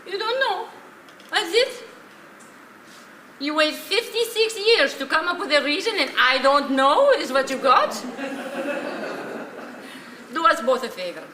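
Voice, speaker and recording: A woman playing a sardonic old woman, high-voiced. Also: animated, slow, pauses often, pushed and bright, full character voice. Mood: scornful. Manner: dry sarcasm, scolding, Yiddish-flavoured phrasing. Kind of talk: stage play acting, dramatic dialogue. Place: stage in a theatre, distant microphone. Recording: echoey, noisy theatre recording from far off.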